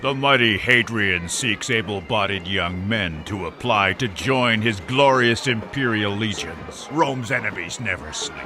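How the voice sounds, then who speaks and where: An actor playing a proud Roman army recruiter, proclaiming to citizens like a rousing orator. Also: male voice, on the low side, expressive, unhurried, hardly any pauses, bright, forceful tone, faint music behind. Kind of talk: dramatised audiobook acting.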